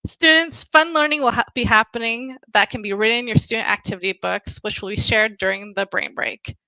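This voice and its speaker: Woman host of a virtual school event. Bright, slightly high voice, dry and close. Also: fairly quick, no echo, poor audio. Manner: upbeat, clear announcements. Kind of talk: scripted live-stream hosting.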